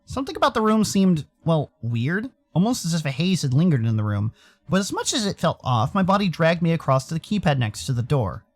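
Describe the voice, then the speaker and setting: An American man narrates a visual novel as a streamer would. His intonation is animated and moves around a lot, shifting between storytelling and casual commentary. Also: on the high side, a little fast. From Arizona, United States.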